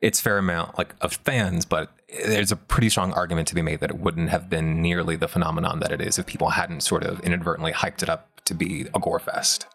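A man with a low, creaky voice talks through ideas as a show co-host. His delivery is analytical and thoughtful, in long sentences with many qualifications. The fry gives his speech a gravelly edge. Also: fairly even intonation, fairly quick, hardly any pauses, a bit bright. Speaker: co-host of a video game discussion show. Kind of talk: analytical pop-culture discussion.

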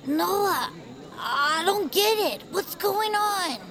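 Young bird fan, slow, gravelly, a bit high, audio drama. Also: female voice, lively intonation, crowd noise, heavily acted. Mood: puzzled. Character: young bird fan, let down by her hero.

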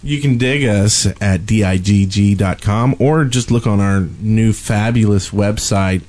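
A man's voice: fairly low pitch, unhurried, a little mellow. A man co-hosting a podcast in casual, off-the-cuff chat with friends. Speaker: podcast co-host.

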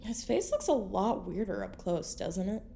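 A woman's voice: medium pitch, lively intonation, normal speed, creaky, dark tone. An actress playing a sardonic, foul-mouthed, tough young woman in an audio drama. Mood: dry.

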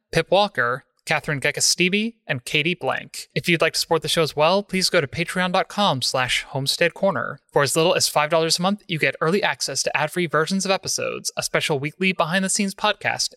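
Male podcast announcer, bright and higher-pitched, scripted read, very dry close sound. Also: no room sound. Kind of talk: scripted credits and content warning read.

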